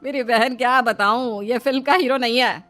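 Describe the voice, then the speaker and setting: A woman voicing a good-natured older mother-in-law, homely and gently embarrassed as she explains. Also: fairly high pitch, steady tone, moderate pace, soft background music, close to an everyday voice. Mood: sheepish.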